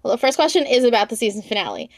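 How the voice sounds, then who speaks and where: A woman's voice: a little high, expressive, rapid-fire, background noise. A woman hosting a casual interview, wry and informal with a playfully dramatic way of reacting. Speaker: podcast interviewer, host.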